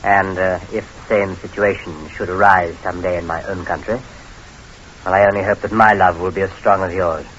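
An actor playing a gallant, courteous English spy adventurer, measured and dramatic in old-time radio drama style. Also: male voice, deep voice, fairly even intonation, fairly bright tone. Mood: sincere. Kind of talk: old-time radio drama acting, first-person narration. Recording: dull, hissy old radio recording.

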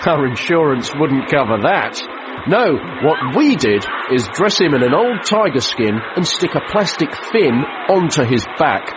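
1950s British studio boffin, self-satisfied, dry delivery, lively pitch, muffled vintage film sound, noisy. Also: male voice, a little slow. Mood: proud, jokey. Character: chatty 1950s British film studio effects man, proudly unflappable. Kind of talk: mock 1950s studio newsreel presentation.

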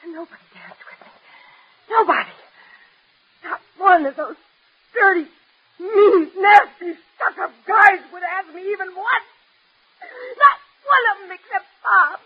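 A very high-voiced young woman, a bitter factory worker full of self-loathing. She rants and repeats herself in melodramatic radio drama fashion. The sound is dull and muffled, like an old broadcast. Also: unhurried, pauses often. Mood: bitter, wretched. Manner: overwrought, ranting, repeats herself.

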